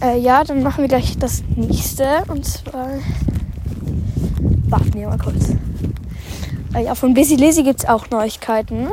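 A woman's voice: high voice, measured pace, pauses often. A young woman with a stuffy nose from a cold, chatting self-consciously into her phone while walking at a horse paddock, hosting her podcast. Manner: chatty, self-conscious, many fillers and restarts. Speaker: podcast host. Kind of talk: casual rambling podcast recorded outdoors. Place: outdoors at a horse paddock in wind. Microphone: phone held while walking. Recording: loud wind noise on phone mic.

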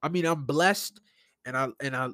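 A man with a Brooklyn accent and a slightly high voice talks solo in a casual, slangy way. He rants and tells stories with animation, like a podcast host chatting to listeners. The sound is close and dry. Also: normal speed, no room sound. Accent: Brooklyn New York. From New York City (Brooklyn). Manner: animated, informal, slangy, acts out conversations. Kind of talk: casual solo rant and storytelling.

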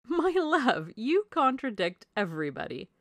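A woman voicing a merry, silly young married gentlewoman in a classic novel, effusive and giggly. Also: medium pitch, animated, normal speed, no echo, close to an everyday voice. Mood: teasing. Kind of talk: audiobook character voice, classic novel.

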